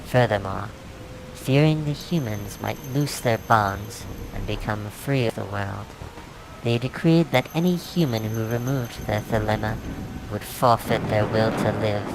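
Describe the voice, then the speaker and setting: A man reading his own fantasy novel aloud as a dramatic, solemn, measured narration. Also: lowish voice, creaky, background noise. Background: music soundtrack.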